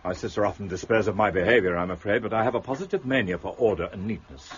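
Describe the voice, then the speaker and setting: An actor playing a fussy, eccentric gentleman of reduced means in a period radio drama, brusque and precise with formal diction. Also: fairly low pitch, fast talker, muffled recording, a little hiss or hum, lightly acted.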